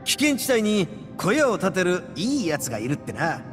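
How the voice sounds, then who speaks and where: An actor playing a boastful young gang boss who talks loudly with rough slang and a gravelly voice. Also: male voice, sits high, huge pitch swings, normal speed, in a large hall. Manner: loud, swaggering, coarse slang. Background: game music.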